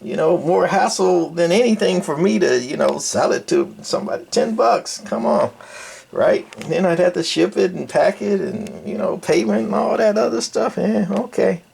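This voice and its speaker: Older man, creaky voice, rambling casually. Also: high-pitched, expressive, moderate pace, darkish tone. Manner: rambling, colloquial, folksy. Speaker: hobbyist radio repairer and video presenter. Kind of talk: casual unscripted bench walkthrough.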